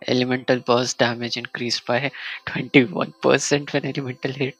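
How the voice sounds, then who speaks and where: A man casually commentating a solo game live stream, muttering to himself and reading item text aloud in a halting way. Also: medium pitch, level tone, fairly bright tone, dry recording.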